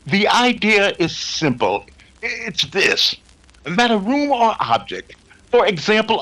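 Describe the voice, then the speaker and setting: An actor playing a formal, erudite doctor of psychic research in a 1930s-style radio drama, with slight hesitations. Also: male voice, sits high, pushed and bright, heavily acted, poor audio. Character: courtly, learned psychic investigator, a male doctor.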